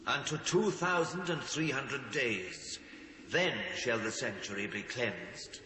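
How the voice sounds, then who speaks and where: A man's voice: medium pitch, slow, pushed and bright. A British man acting a stately heavenly saint in a dramatised scripture reading, solemn and oracular. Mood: solemn, certain. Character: stately male heavenly saint pronouncing prophecy. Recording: hissy, echoing long reverb.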